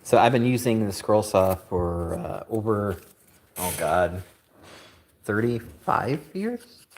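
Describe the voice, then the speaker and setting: A man's voice: on the low side, animated, slow, a little mellow, a little hiss or hum. A man in his fifties hosting a woodworking livestream, chatting casually with a joking, self-deprecating, rambling manner. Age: 50s.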